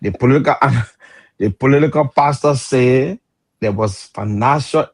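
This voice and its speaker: A Liberian man in his fifties with a husky, breathy voice argues politics on a radio panel. He talks animatedly, stumbling over words and laughing mid-sentence, in a Liberian English accent. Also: medium pitch, a little slow. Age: 50s. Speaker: radio talk show panelist. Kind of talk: heated political debate.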